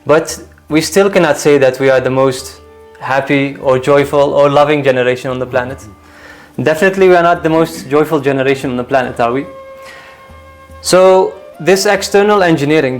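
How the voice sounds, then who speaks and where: A young man, a guest being interviewed, who explains his views in a measured, reasoned way and often asks rhetorical questions. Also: a little high, faint music behind. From Iraq, raised in the Netherlands. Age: young adult. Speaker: guest, full-time volunteer. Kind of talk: calm explanatory interview talk.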